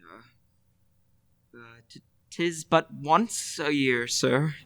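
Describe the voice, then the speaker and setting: Slow, hesitant, meek clerk played by a man. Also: on the high side, fairly bright tone, no echo, clean studio sound, heavily acted. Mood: timid. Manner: hesitant, stammering. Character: timid, meek, deferential clerk. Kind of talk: old-time radio play acting.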